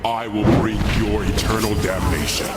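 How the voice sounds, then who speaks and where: An actor playing a menacing supernatural villain, declaiming ominously in a video game. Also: male voice, lowish voice, fairly even intonation, moderate pace, natural voice. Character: menacing supernatural male villain promising damnation. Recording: echoing voice under loud music.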